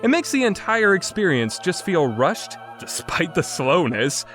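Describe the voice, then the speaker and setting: A man's voice: high-pitched, very animated, unhurried, a bit bright, faint music behind. A man narrating a scripted review as a critic, blunt, exasperated and opinionated in manner. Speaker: game reviewer.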